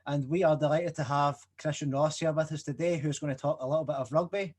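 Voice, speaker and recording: Male host, somewhat high voice, friendly intro. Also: fairly even intonation, fast talker, a little mellow. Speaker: podcast host. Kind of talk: podcast introduction.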